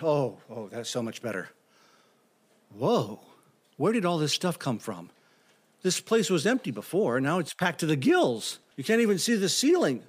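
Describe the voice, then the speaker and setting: Man playing an animated, high-voiced scrappy temp. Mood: eager. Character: eager, scrappy hapless working husband and office temp. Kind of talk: audio comedy drama acting.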